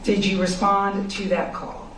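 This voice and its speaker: A woman prosecutor questioning a witness in court, polite, formal and measured. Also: mid-range voice, flat delivery, fairly bright tone. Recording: echoey courtroom, distant mic, noisy.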